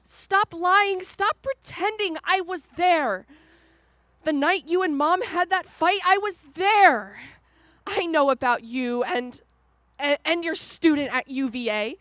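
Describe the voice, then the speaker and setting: A woman's voice: extremely high pitch, takes it slowly, a bit bright, dry recording, clean studio sound, heavily acted. A young woman acting in a radio drama as a hurt, determined daughter confronting her father, emotional and pleading. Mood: angry, accusing. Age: young adult.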